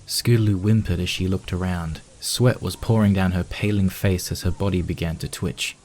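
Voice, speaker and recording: A man's voice: low-pitched, steady tone, normal speed, darkish tone. A man reading scripted story narration as the narrator of a piece of fan fiction.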